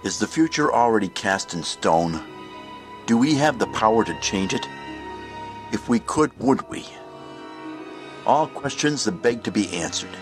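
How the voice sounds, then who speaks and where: A man acts as the narrator of an eerie anthology tale. His voice sits fairly low and moves with solemn, measured portent. Music plays clearly behind him. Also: lively intonation. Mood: ominous, solemn. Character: solemn, ominous male anthology-show narrator.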